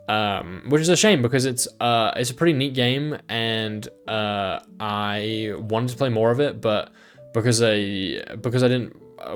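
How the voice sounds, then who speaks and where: Rambling man streamer, soft music behind. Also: lowish voice. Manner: rambling, many ums and restarts. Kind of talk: casual livestream chat.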